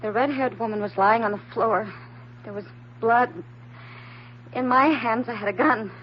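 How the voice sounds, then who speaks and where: A woman playing a frightened, naive and anxious woman in an old-time radio drama, speaking haltingly and earnestly. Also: fairly high pitch, natural voice. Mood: distressed. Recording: dull, slightly noisy old radio recording.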